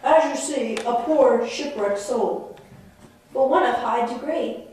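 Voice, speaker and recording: A woman's voice: on the high side, slow, darkish tone. Echoey woman as a gallant young shipwrecked prince. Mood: mournful. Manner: courtly, florid. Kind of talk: theatrical stage dialogue. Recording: very echoey room, far from mic.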